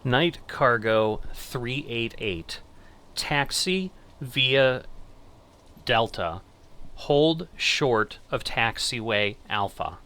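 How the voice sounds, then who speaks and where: A middle-aged man giving casual gameplay commentary and controller commands, thinking aloud in an unhurried way. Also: medium pitch, expressive, sharp tone. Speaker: gaming channel host playing air traffic controller.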